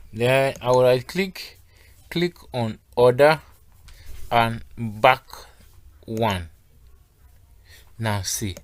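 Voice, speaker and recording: A man teaches graphic design software in a step-by-step tutorial. His voice sits at a medium pitch. He speaks instructionally, guiding learners through each action and checking that they are keeping up. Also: dry recording.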